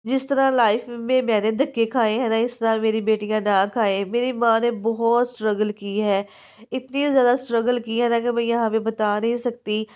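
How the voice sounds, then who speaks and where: A woman in her thirties with a Pakistani Urdu accent, chatting through a home vlog and voice-over, hoarse from a sore throat and cold, homely and confiding. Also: on the high side, little pitch movement, moderate pace, darkish tone, lo-fi sound. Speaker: home cooking vlogger. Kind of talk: casual vlog chat and voice-over. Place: small room at home, indoors.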